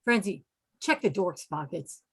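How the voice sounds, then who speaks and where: Actress as tough female leader in a horror script: commanding, wary, husky, animated pitch. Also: unhurried, exaggerated voice. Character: commanding, wary armed woman leading a group. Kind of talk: film script table read.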